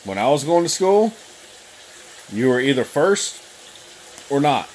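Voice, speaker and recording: A man's voice: mid-range voice, very animated, a little slow. Man, thirties, casual host, joking storyteller, mid-range voice, faint background hiss. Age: 30s. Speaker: channel host. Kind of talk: casual livestream chat.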